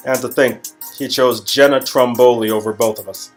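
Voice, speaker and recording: A man's voice: medium pitch, fairly even intonation, normal speed, soft background music, natural voice. A man playing a cocky, joking young Hollywood talent agent in a hammy, improvised comedic dialogue. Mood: boastful, teasing. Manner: joking, hammy, repeats phrases for effect.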